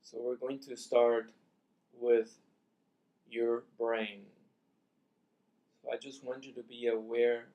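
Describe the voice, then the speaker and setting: A man leading a guided meditation, speaking softly and deliberately in short phrases with long pauses, as a teacher giving calm instructions. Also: medium pitch, lo-fi sound.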